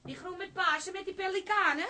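An actress playing a brisk, bossy café owner's wife in a radio comedy drama, with a no-nonsense way of talking. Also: female voice, very high voice, fairly even intonation, sharp tone. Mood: brisk, teasing. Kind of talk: naturalistic Dutch radio comedy-drama acting.

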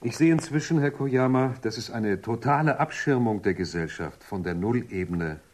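An actor playing an earnest, determined, level-headed investigator in a science fiction radio drama, matter-of-fact and persuasive. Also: male voice, medium pitch, moderate pace, lightly acted. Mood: measured, insistent.

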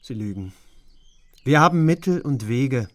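An actor playing a threatening plainclothes secret-police interrogator in a radio drama, curt and insistent. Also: male voice, medium pitch, animated, a little slow, dry recording, natural voice.

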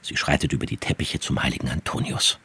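A man narrating a literary radio play from a script in a measured, descriptive manner. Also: very deep voice, gravelly, bright, forceful tone, breathy edge.

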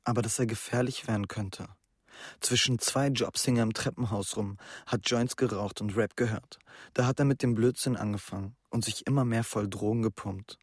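Anxious schoolboy, dry sound. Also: male voice, fairly low pitch, steady tone, normal speed, slightly rough, dry recording. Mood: confiding, troubled. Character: anxious schoolboy worried about his older brother. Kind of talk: naturalistic radio drama dialogue.

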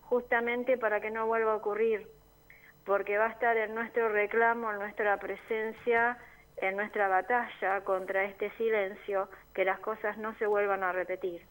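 A woman's voice: mid-range voice, little pitch movement, moderate pace, low-quality recording. An Argentine woman, a neighborhood assembly member, explaining her group's work earnestly in a radio interview. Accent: Argentine Spanish. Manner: earnest, explanatory, with frequent fillers. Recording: community radio studio.

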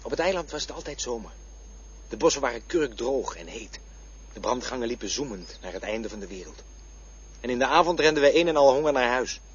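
A husky boyhood storyteller. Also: male voice, mid-range voice, lively intonation, a little fast. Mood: wistful. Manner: vivid storytelling. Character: man recalling boyhood by the river. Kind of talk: radio drama acting. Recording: dull lo-fi radio play recording.

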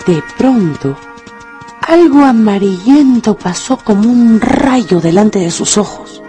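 A woman's voice: mid-range voice, expressive, takes it slowly, warm tone. A woman narrating a dramatised story for radio from a script. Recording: music under the voice.

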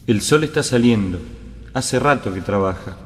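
A man's voice: medium pitch, lively intonation, moderate pace, slight background noise, close to an everyday voice. A man reciting poetic narration for a radio drama in a lyrical, cadenced way. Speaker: verse narrator. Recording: long hall reverb.

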